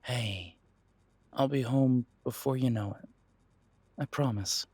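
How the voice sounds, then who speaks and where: Casting: male actor as protective werewolf detective boyfriend, soft reassuring delivery, mid-pitched voice, very dry intimate sound. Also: slow, slightly rough, no echo. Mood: tender. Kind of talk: intimate roleplay audio drama.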